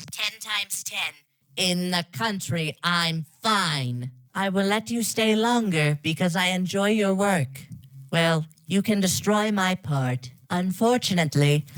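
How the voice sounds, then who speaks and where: A woman's voice: on the low side, dry recording, rough recording. A woman's synthetic voice reading scripted game lines in a matter-of-fact way.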